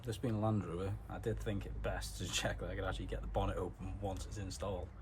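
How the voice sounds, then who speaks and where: A man's voice: deep voice, steady tone, quick pace, noisy background. A man giving a casual, matter-of-fact hands-on car tutorial, explaining things step by step in informal words. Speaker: DIY car mechanic vlogger.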